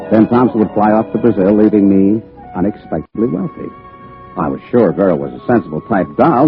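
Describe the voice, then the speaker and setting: Casting: hardboiled American schemer, wisecracking and animated, for vintage radio crime drama; narrow, tinny sound. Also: male voice, on the low side. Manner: slangy tough-guy wisecracking delivery. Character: cocky, scheming small-time American wise guy, tough and slangy. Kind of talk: 1940s-50s American radio drama acting, hardboiled first-person narration. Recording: tinny band-limited vintage radio recording with music.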